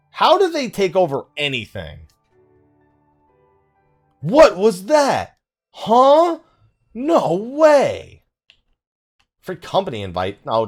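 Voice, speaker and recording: A man giving casual let's play commentary, rambling and thinking out loud with mild exasperation. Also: on the high side. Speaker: gaming video host. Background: game music.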